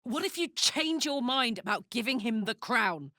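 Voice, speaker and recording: A woman voicing a brash, warm-hearted, battle-hardened fantasy warrior in a video game, with a blunt, earthy, emotive delivery. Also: fairly high pitch, expressive, normal speed, dry recording, studio quality. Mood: worried. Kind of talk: video game character voice acting.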